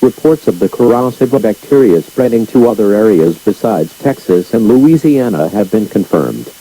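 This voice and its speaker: A man's synthetic-sounding voice as an emergency alert announcer, reading stiffly and impersonally, muffled and husky. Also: lowish voice, expressive, normal speed, mellow. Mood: impersonal, grave. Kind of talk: scripted emergency broadcast reading.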